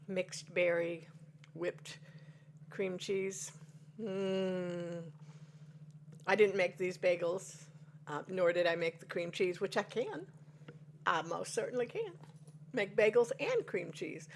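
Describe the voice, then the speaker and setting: A woman's voice: fairly low pitch, gravelly, slight background noise. A woman in her sixties, chatting to viewers over coffee and rambling through a personal story with folksy exclamations. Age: 60s. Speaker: devotional vlogger.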